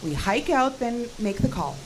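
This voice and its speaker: A woman's voice: on the high side, big pitch swings, normal speed, noisy background. An actress playing an anxious, determined woman investigator in a radio drama. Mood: urgent, reasoning.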